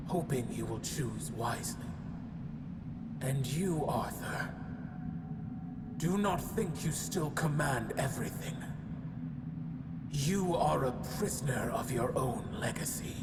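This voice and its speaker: A slow, echoing otherworldly dream guardian. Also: male voice, fairly high pitch. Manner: stately, ominous, breathy whispering at phrase ends. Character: solemn, judging otherworldly guardian of dreams, protective and aloof. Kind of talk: dramatic video game cutscene acting. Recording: long cavernous reverb, loud ambient noise.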